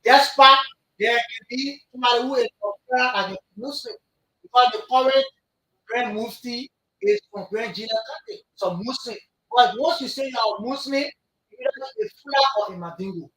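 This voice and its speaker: A man's voice: very high-pitched, big pitch swings, normal speed, pushed and bright, reverberant, low-quality recording. A man from Liberia, a guest who argues politics insistently on a radio talk show and repeats himself to keep the floor. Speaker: studio guest, party executive. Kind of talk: heated political debate.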